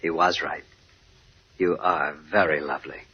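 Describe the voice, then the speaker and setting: An actor playing an elegant, polite young gentleman smitten with a woman in a 1950s radio drama, with a husky voice. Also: a bass voice, steady tone, measured pace, full character voice. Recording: dull, dry old radio recording.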